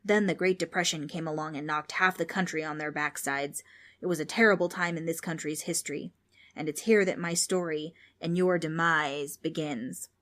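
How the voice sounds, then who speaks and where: A woman's voice: low-pitched, fairly even intonation, moderate pace, a bit bright. A cantankerous old ghost, acted out by a woman, scolds and grumbles in old-fashioned insults. He rambles and loses his thread, like an exasperated schoolteacher in a children's storybook. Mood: storytelling. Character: cranky old male ghost, scolding and absent-minded. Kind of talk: children's audiobook character voice.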